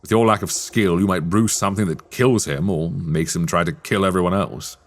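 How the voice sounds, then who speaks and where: A man acting a sly, teasing master of a magic academy in a dark fantasy ASMR roleplay, speaking in measured, wry, formal phrases. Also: low-pitched, fairly even intonation. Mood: wry, firm.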